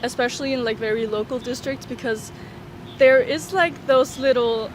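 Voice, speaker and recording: A woman's voice: a little high, normal speed. A young woman from Austria answering interview questions outdoors, hesitant and full of fillers, laughing easily. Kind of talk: casual street interview. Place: city street outdoors. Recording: loud street traffic noise. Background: traffic and passing vehicles.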